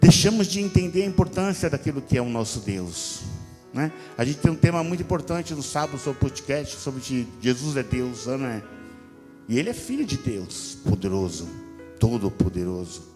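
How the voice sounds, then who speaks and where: A middle-aged Brazilian man preaches as a pastor, in a medium-pitched voice. He exhorts his congregation conversationally and emphatically, repeating phrases and checking in with questions. His voice rings through a long-reverb hall, with music playing underneath. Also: big pitch swings, normal speed, darkish tone. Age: 50s. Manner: emphatic, repetitive, checks in with listeners using rhetorical questions. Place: church hall.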